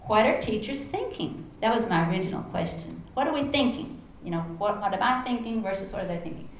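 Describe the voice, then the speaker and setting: Texan lady on a panel, lively storyteller, echoey room w/ faint hum. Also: medium pitch, fairly quick. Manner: animated storyteller, acts out her own quoted lines. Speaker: panel speaker, educator. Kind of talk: panel talk, personal storytelling. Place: conference room.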